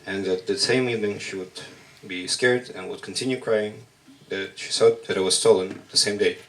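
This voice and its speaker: A man whose first language is Russian performs a spoken-word poem in measured, halting short phrases at a slam poetry workshop. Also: lowish voice, steady tone, recorded from a distance, low-quality recording.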